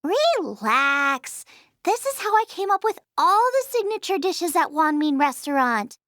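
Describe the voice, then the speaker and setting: Bubbly teen girl chef, video game acting, very high voice, dry close recording, eager exclamations. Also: big pitch swings, unhurried, a bit bright, dry recording, exaggerated voice. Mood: cheerful, confident. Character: bubbly, adventurous teenage girl chef, obsessed with ingredients.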